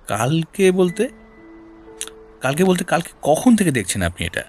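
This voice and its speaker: An actor playing a shrewd, persistent senior detective in an audio drama, questioning in a deliberate, probing way. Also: male voice, a little high, very animated. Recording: music bed behind voice.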